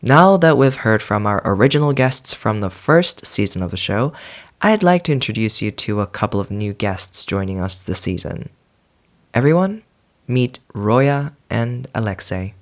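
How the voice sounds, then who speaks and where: A man's voice: lowish voice, expressive, moderate pace. A man hosting a podcast, reading an earnest, enthusiastic introduction to the new season. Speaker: podcast host. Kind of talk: warm scripted podcast intro.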